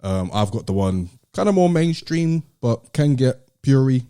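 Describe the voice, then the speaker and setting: A man's voice: mid-range voice, sing-song, unhurried, dark tone, clear, resonant tone, no room sound, clean studio sound. A British man hosting a podcast in casual, slangy, laid-back chat. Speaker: podcast host.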